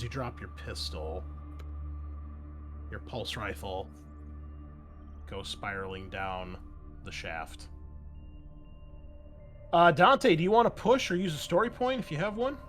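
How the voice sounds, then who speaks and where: A man's voice: fairly high pitch, fairly bright tone. Casual man game mastering over background music. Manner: conversational, thinking aloud. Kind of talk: running an online tabletop game, casual.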